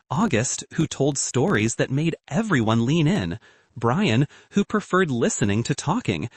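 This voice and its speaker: A man's voice: medium pitch, breathy edge, dry recording. A man reading a scripted story as an audiobook narrator.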